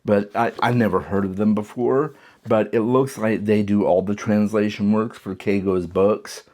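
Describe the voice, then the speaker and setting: A man's voice: lowish voice, steady tone, normal speed, a little mellow. A man giving a casual, enthusiastic book review, talking conversationally and sometimes restarting his sentences. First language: English.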